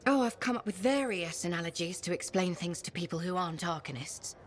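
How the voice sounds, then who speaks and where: A woman's voice: on the low side, lively intonation, brisk, lightly acted. Woman doing a witty scholar type in a game, dry humor, thoughtful.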